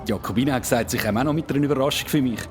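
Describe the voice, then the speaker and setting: A man's voice: mid-range voice, racing, close to an everyday voice. Actor as polite, reserved young Swiss man. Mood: polite, surprised. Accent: Swiss German. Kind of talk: children's radio play acting. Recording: faint music behind.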